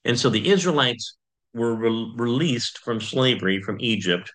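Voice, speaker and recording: A man, a pastor, preaching a sermon in a conversational way, with frequent pauses and fillers. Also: mid-range voice, fairly bright tone.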